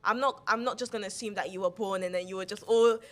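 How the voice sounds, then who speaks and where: A young woman hosting a podcast, chatting casually about faith in long, animated run-on stretches full of "like". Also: medium pitch, quick pace. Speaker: podcast host.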